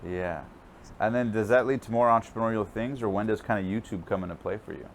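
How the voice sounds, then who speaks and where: A man's voice: lowish voice, fast talker, slight background noise. A man hosting an interview show, hyping up his guest in the intro and then chatting casually, laughing along. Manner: upbeat, laughs often, hype-style intro. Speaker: podcast host.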